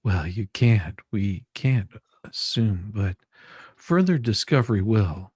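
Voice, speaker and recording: A man playing a questioning attorney in an amateur dramatic reading of a deposition, halting and repeating his words. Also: lowish voice, animated, darkish tone, husky, no room sound, clean studio sound, heavily acted. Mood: questioning, patient.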